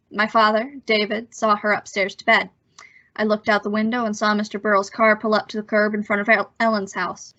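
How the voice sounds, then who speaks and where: A woman's voice: mid-range voice, sharp tone, lightly acted. A woman playing a nervous, evasive young man with a hesitant manner in an old-time radio suspense drama. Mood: tense recounting. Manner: hesitant, stammering under pressure. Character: nervous young man, evasive impostor telling his story. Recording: dull, lo-fi audio.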